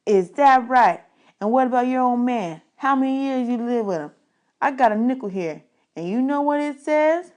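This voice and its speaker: A woman's voice: a little high, animated, moderate pace, a little mellow, pitched above the performer's own voice, lightly acted. A woman voicing a boastful, sneering orphanage boy bully with a drawling, taunting delivery, in a storybook reading.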